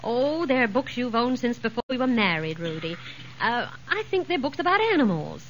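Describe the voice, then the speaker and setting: Animated, chiding 1940s radio housewife. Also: female voice, fairly high pitch, moderate pace. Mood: practical. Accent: American. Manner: expressive, sweetly exasperated. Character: patient, gently chiding middle-aged housewife and mother. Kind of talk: 1940s radio sitcom acting. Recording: old muffled 1940s radio recording, noisy.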